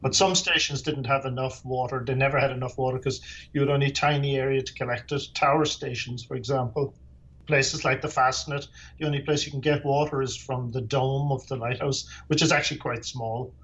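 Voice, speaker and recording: A man's voice: mid-range voice, fairly even intonation, fairly quick, a bit bright, highs cut off. A middle-aged man with an Irish accent, a former lighthouse keeper answering interview questions in a plain, matter-of-fact way with dry humor. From Ireland.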